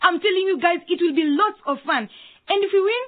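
An actress playing an eager, excited young schoolgirl calling out to classmates in a radio drama. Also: extremely high pitch, fairly quick, narrow, tinny audio. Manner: eager, exclamatory.

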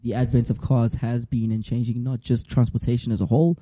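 Zulu-speaking man with a dark, worn voice. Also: medium pitch, a little fast. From South Africa. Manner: laughs often, relaxed banter. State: strained voice from shouting. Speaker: podcast co-host. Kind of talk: casual chat. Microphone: studio mic.

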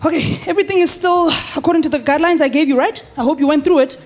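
A woman playing a brisk, firm, no-nonsense radio show producer in a live radio drama. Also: high-pitched, a little mellow, soft background music, lightly acted. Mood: brisk, encouraging. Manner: bossy, no-nonsense.

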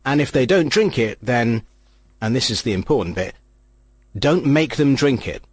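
A man's voice: medium pitch, expressive, moderate pace, slightly rough, dry recording, clean studio sound. A man giving a comic mock-lecture and acting out the voices he quotes. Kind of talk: comic monologue.

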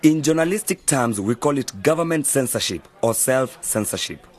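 A man playing a knowledgeable, easygoing mentor in an educational radio drama, explaining things in a measured, articulate way. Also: medium pitch, lively intonation, close to an everyday voice. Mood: serious, explanatory. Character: knowledgeable, easygoing male media mentor advising young friends.

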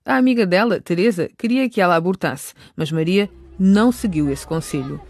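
A man narrating a radio drama, recapping events in a clear, measured way. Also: high-pitched, a little hiss or hum.

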